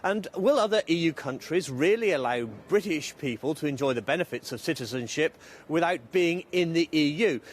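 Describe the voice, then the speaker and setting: A man giving live political analysis as a correspondent, measured and analytical. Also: fairly high pitch.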